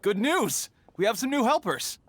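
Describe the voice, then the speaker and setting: A man voicing an eager, good-natured young helper in a video game, announcing things excitedly. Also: sits high, normal speed, dry recording. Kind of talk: game character acting.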